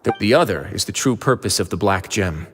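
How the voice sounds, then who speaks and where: An actor playing a courteous, composed nobleman investigator in a video game, speaking in a measured, formal and polite way. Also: on the low side, lively intonation, a bit bright, in a large hall, slight background noise. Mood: earnest, composed.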